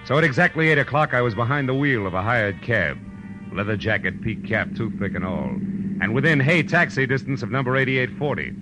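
An actor playing a laconic, wisecracking private detective with an American accent, in the style of 1940s radio drama. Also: male voice, mid-range voice, expressive, fairly quick, close to an everyday voice, rough recording. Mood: wry narrating. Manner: dry, wisecracking, clipped. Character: wry, laconic hardboiled male private detective narrating his case. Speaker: lead actor and narrator. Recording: old radio recording with music underneath.

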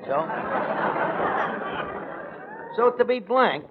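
A man's voice: high-pitched, expressive, moderate pace, crowd noise. A man playing a brash, slangy, uneducated tavern manager in an old radio comedy. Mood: persuasive. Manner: slangy, ungrammatical, streetwise. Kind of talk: 1940s radio comedy acting. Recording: muffled, very noisy old radio recording.

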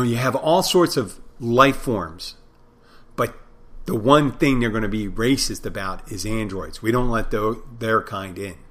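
A man's voice: on the low side, a bit bright. A middle-aged man with a Philadelphia accent hosting a podcast solo, talking casually in a meandering, self-deprecating way and trailing off mid-thought. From Philadelphia, United States. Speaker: podcast host.

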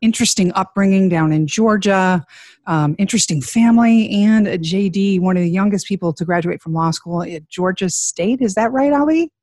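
An upbeat woman co-hosts a podcast and introduces her guest with a joking, chatty manner. Her voice sits at a middle pitch. It is recorded close to the mic, dry and without room sound. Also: normal speed, darkish tone, no room sound. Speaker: podcast co-host. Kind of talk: casual interview chat.

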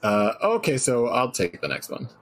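A man's voice: medium pitch, huge pitch swings, normal speed, soft background music. A man in casual, joking banter as a guest reader on a stream.

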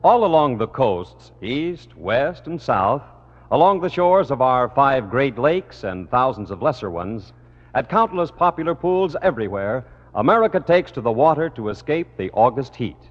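Male announcer for a mid-century radio ad. Lively intonation, dull archival sound with long reverb. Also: medium pitch, normal speed. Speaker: commercial announcer. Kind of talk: scripted 1950s radio ad narration.

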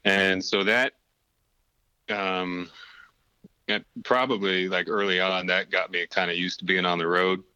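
A man's voice: sits low, level tone, a little slow, pauses often, bright, forceful tone, clean tone, no echo, muffled recording. A middle-aged man, a podcast guest, telling his life story in casual chat, rambling and full of fillers.

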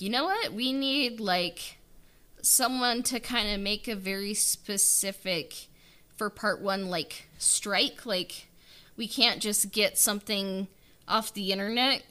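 A woman co-hosting a podcast, chatting casually and haltingly as she thinks aloud in fragments. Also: mid-range voice, pushed and bright. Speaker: podcast co-host. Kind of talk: casual behind-the-scenes podcast chat.

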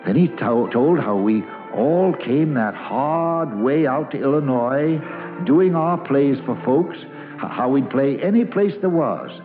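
A folksy American storyteller played by a man looks back on boyhood with wistful fondness and a very expressive pitch. It is old radio drama narration, muffled, with music clearly behind it. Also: a little slow, lightly acted. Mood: admiring. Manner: folksy, wistful, plain-spoken. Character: grown man fondly narrating his boyhood among travelling actors.

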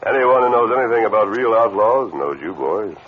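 An actor playing a calm, commanding masked cowboy hero, assured and firm, in an old radio western. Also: male voice, on the low side, brisk, crowd noise, close to an everyday voice. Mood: confident, persuasive. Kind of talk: 1940s-50s radio western acting. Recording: tinny, noisy old radio recording.

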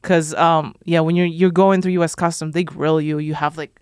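A woman's voice: low voice, normal speed, clear, resonant tone, no room sound, studio quality. A woman in her thirties talking casually as an interview guest, telling stories by acting out quotes and often saying "like". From Kazakhstan. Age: 30s. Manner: animated storytelling, quotes people in dialogue, frequent "like". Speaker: guest, social media content creator.

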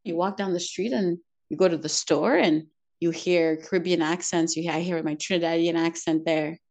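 A woman with a slightly low voice speaks as an interview guest, sharing her own experiences. She talks in a candid, reflective way and laughs easily between her thoughts. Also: steady tone, normal speed, a little mellow. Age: adult, mother of two daughters. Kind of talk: personal conversational storytelling.

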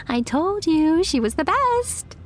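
A woman's voice: very high voice, slight background noise, full character voice. A woman playing a gushing, nosy, infatuated young actress in an audio drama, confiding and impulsive. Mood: eager. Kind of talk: naturalistic audio drama acting.

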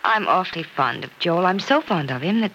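A woman's voice: lowish voice, lively intonation, fairly quick, fairly bright tone. An actress playing an earnest, affectionate young single woman who is careful about love, in old-time radio soap style. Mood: earnest, uncertain. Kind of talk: 1940s-50s radio soap acting. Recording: dull, noisy old radio transcription.

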